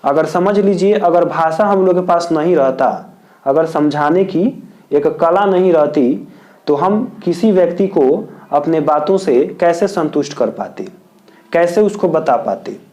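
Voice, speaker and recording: A man from India teaching as a tutor, dictating answers slowly and repeating each point in several wordings. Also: a little high, darkish tone. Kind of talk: exam answer lesson.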